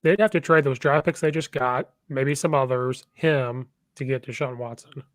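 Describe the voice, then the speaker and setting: A man co-hosting casual sports talk, hoarse and offhand. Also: medium pitch, normal speed, no echo. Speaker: co-host.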